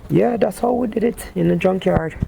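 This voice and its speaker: A man's voice: sits high, normal speed, vocal fry, dark tone, slight background noise, poor audio. A man chatting casually, often repeating his phrases for emphasis. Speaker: vlogger testing walkie-talkies outdoors. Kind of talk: casual chat over walkie-talkie.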